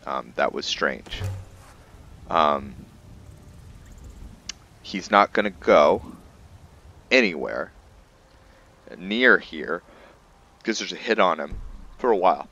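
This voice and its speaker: A man's voice: deep voice, animated, takes it slowly, pauses often, sharp tone. A man hosting a roleplay gaming session, giving commentary on his character as he plays. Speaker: gaming roleplay host and player. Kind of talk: slow roleplay gameplay commentary. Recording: dull muffled mic with faint music.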